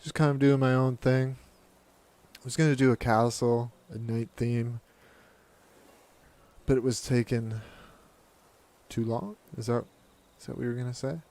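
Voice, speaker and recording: A man's voice: medium pitch, fairly even intonation, a little slow, long pauses, a little mellow. A man streaming a video game live, chatting casually and answering viewers' comments aloud in a laid-back way.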